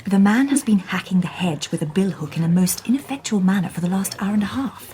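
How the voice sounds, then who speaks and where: An actress playing a composed, shrewd Victorian lady detective in a radio drama, polite and quietly ironic. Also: mid-range voice, lively intonation, fairly quick, close to an everyday voice.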